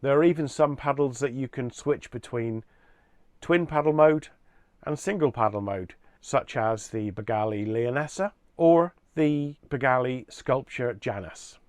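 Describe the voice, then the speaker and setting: A man with a medium-pitched voice presents a product range in a measured, explanatory style. He moves through points in an orderly fashion. The sound is dry and close, with very little room in it. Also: no echo.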